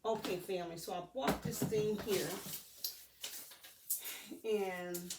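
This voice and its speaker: A woman giving a casual, halting unboxing chat to her viewers, addressing them in a familiar, homely way as a vlogger. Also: mid-range voice, fairly even intonation. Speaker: vlogger showing purchases. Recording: roomy echo, distant mic, faint noise.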